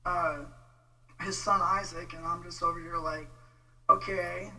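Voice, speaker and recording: A man with a Texan accent giving an informal, halting, exasperated rant on a casual livestream. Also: high-pitched, steady tone, sharp tone. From Texas, United States. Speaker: vlogger, religious debate commentator. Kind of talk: casual livestream rant replying to viewer comments. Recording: distant mic with faint noise and hum.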